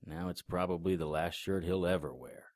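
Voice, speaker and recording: A man's voice: low-pitched, fairly even intonation, normal speed, darkish tone, dry recording, lightly acted. A man playing a wisecracking monster hunter in an audio drama, speaking in a dry, sardonic, matter-of-fact way. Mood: wry. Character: wisecracking middle-aged male monster hunter. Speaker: vampire hunter and narrator.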